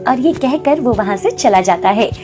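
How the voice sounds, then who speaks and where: A woman's voice: fairly high pitch, animated, normal speed, over music. A woman narrating a scripted moral story.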